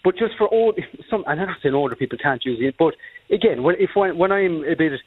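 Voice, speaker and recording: Irish guy, 40s, calling in over a tinny phone line, talks fast, rambles a lot. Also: on the high side, expressive, husky, dry recording. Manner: rambling, hedging, full of fillers. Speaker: caller. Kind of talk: call-in radio chat.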